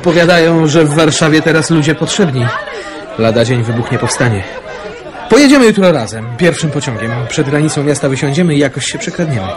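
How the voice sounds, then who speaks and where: A man's voice: fairly high pitch, animated, brisk, a crowd in the background, close to an everyday voice. An actor playing a determined young man in a wartime radio drama. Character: resolute young man in occupied wartime Poland.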